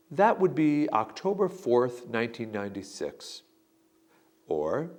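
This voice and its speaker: A man giving slow, deliberate sample answers in a scripted lesson. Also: mid-range voice. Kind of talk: scripted instructional narration.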